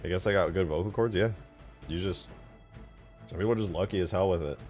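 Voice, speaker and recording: A man's voice: low-pitched, quick pace, a little mellow. A man chatting casually and informally on a livestream, replying to viewers in a slangy, self-deprecating way. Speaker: streamer, game modder. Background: background music.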